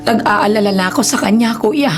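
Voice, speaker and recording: A woman's voice: mid-range voice, a little fast, close to an everyday voice. A Filipino woman playing a worried, caring nanny in a radio drama, speaking in an anxious, coaxing way. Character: worried, caring adult female nanny of the household. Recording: loud music behind dramatized dialogue.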